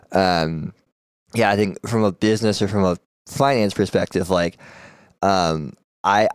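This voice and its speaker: A man's voice: sits low, smooth, no room sound. A man who works as an accountant, talking through retirement saving in a casual podcast chat, explaining plainly with frequent restarts. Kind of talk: casual conversational podcast talk.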